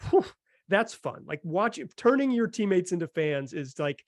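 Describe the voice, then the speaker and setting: A man's voice: high-pitched, very animated, moderate pace, dry recording, studio quality. A man hosting a podcast, chatting casually and enthusiastically about sports with emphatic delivery. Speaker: podcast host.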